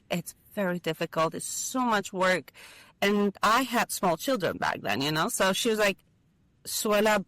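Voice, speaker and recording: A woman's voice: lowish voice, a bit bright, no echo, rough recording. A woman from Albania, a university lecturer, talking earnestly in an interview, with small hesitations and fillers. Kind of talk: casual interview conversation.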